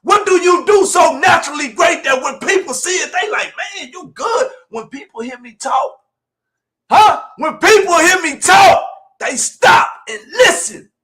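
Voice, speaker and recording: A man in his forties delivers motivational preaching in a very high, sharp voice. He speaks straight to his listeners in plain colloquial talk, repeating key lines for emphasis and swinging between quieter asides and shouts. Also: expressive, a little slow. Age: 40s. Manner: colloquial, direct address to listeners. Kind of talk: fiery motivational preaching on a livestream.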